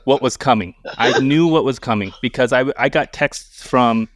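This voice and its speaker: A man's voice: mid-range voice, moderate pace, no echo. A man in his mid-forties chatting casually, repeating words as he goes and swearing freely, in a self-deprecating way. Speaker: podcast guest. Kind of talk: casual banter and storytelling.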